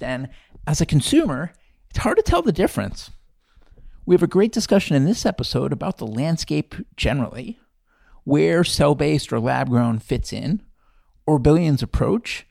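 A man hosting a podcast interview, upbeat and chatty, with quick asides. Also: on the high side, creaky, darkish tone, no room sound, clean studio sound. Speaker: podcast host.

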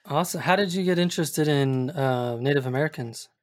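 A man's voice: on the high side, a little fast. A man hosting a podcast, reading sponsor notes and chatting casually and upbeat with a guest. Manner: upbeat, laughs easily, loose phrasing. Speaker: podcast host.

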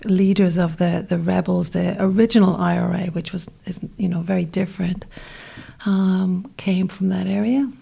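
Irish woman, gravelly, mellow voice, soft reflective talk, podcast guest. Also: fairly low pitch, flat delivery, normal speed. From Ireland. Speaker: interview guest. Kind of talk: reflective conversation.

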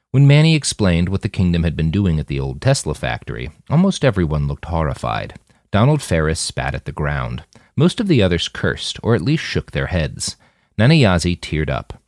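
A low-pitched man reads fiction as a podcast narrator. His storytelling swings widely in pitch and is full of expression. The sound is dry, with very little room in it. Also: a little mellow, no room sound.

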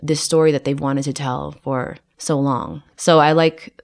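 A woman's voice: sits low, fairly even intonation, measured pace, creaky. A woman who grew up in the United States, a production designer chatting in an interview with many fillers, restarts and frequent laughs. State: tired. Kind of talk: casual interview conversation.

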